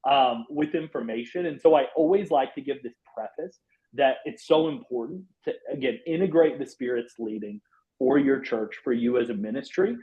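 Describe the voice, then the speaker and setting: A former pastor, a man, presenting a church software webinar in an affable way, with lots of "um" and "you know". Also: mid-range voice, moderate pace. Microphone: video call. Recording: dull lo-fi webinar audio.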